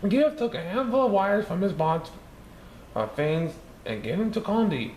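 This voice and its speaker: Lively man reading a storybook aloud. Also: normal speed. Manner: lively, raising his pitch for characters' lines. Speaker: storybook reader. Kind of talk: reading a children's picture book aloud. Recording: echoey room with faint background noise.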